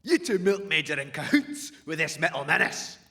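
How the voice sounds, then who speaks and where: An actor playing a swaggering, menacing raider chief who fancies himself a comedian, in a comic audio drama. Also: male voice, fairly high pitch, sing-song, normal speed, creaky, sharp tone, heavily acted. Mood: furious bullying. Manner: bullying, showboating, flips from jokey to threatening.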